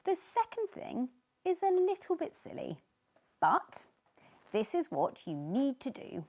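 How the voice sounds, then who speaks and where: A woman voicing a tiny, kind storybook fish who is reassuring and encouraging, with expressive delivery. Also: high voice, a little slow, pitched above the performer's own voice, exaggerated voice. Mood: instructing.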